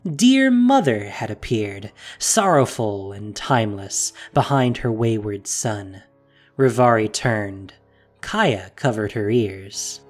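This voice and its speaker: Man narrating a fantasy story with lively pitch. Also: slow, faint music behind.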